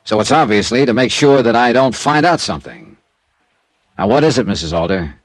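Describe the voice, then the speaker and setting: An actor playing a tough, wry insurance investigator in a classic radio drama, clipped and sardonic. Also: male voice, medium pitch, animated, a little fast, dry recording, close to an everyday voice. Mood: pressing. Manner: clipped, sardonic, hard-boiled. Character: tough, wry freelance insurance investigator, narrating his case. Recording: vintage radio drama with music.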